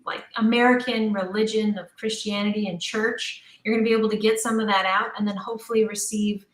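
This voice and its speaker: A woman's voice: medium pitch, fairly even intonation, fairly quick. Enthusiastic woman in a roomy, echoing space. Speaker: guest, audio drama creator. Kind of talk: enthusiastic interview conversation.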